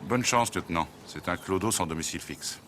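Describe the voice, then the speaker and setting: A man's voice: sits low, fairly quick, fairly bright tone, a little hiss or hum. A man dubbing a skeptical, brash city police inspector in a French film, speaking sarcastically. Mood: brash, sarcastic.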